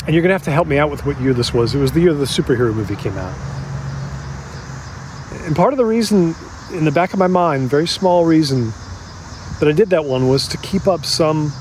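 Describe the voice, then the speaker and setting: An actor playing a regretful man confiding in an interviewer, in a halting, reflective, conversational way for an audio drama. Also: on the high side, darkish tone, slight background noise, close to an everyday voice.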